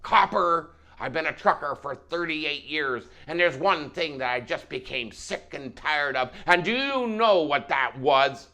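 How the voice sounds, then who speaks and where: A man's voice: on the high side, unhurried, hardly any pauses. A man telling a story, voicing a middle-aged trucker who rants in a commanding, emphatic way. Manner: commanding, emphatic, increasingly animated. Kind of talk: dramatized anecdote in spoken storytelling.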